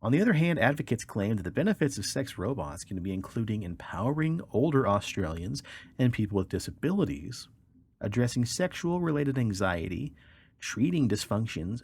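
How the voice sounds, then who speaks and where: A man's voice: medium pitch, slightly rough. A man hosting a podcast, reading articles aloud and chatting in an informal, colloquial way. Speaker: podcast host.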